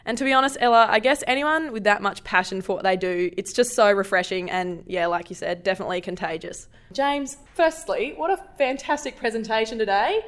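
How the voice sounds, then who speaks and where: Woman, Australian accent, medium pitch, chatty interviewer, casual conversational style. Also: a little fast, few pauses, a bit bright.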